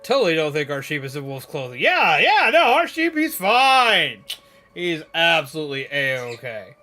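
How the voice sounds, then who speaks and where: Male streamer, higher voice, playful and theatrical chat host, background music. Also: wildly swooping pitch, normal speed, bright, forceful tone. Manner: playful, theatrical, exaggerated emphasis. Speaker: livestream host. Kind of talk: casual livestream chat with viewers. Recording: music playing behind the voice.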